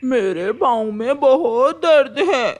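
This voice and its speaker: A woman voicing a sly male crow villain in a cartoon story, with a drawn-out, pleading and scheming manner. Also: high voice, expressive, darkish tone, a little hiss or hum. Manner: drawn-out, repeats words. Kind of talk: animated children's story voice acting.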